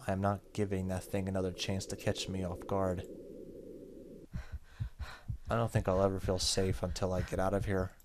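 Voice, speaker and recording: A man's voice: low-pitched, completely flat delivery, moderate pace, long pauses, noisy background. A man playing a horror game, reading its story text aloud dramatically and commenting casually. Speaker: gaming YouTuber. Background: game music.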